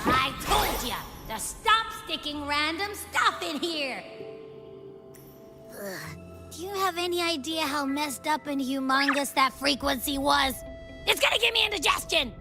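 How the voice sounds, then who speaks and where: A woman voicing a small, blunt, excitable creature companion in a video game, brash and whiny. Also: high voice, unhurried, over music, exaggerated voice. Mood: indignant.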